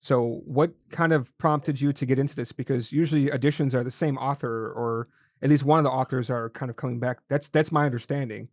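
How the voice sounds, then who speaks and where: A man's voice: medium pitch, fairly even intonation, brisk, darkish tone, no echo, clean studio sound. A man hosting an interview podcast, chatting loosely and thinking aloud as he sets up questions. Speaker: podcast host. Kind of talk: casual interview chat.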